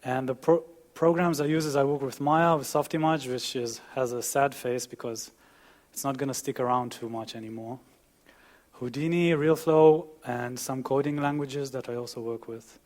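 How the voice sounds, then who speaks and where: A man's voice: medium pitch, steady tone, normal speed, darkish tone. A young man from Israel with an Israeli accent, giving a conference presentation as a student. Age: young adult. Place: dim conference auditorium with audience, on a panel. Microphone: headset.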